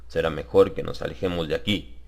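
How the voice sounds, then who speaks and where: A man voicing a mysterious, calm stranger in a horror audiobook, reassuring with a hint of command. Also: rumbling low, fairly quick, gravelly, voice pushed down. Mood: soothing, commanding.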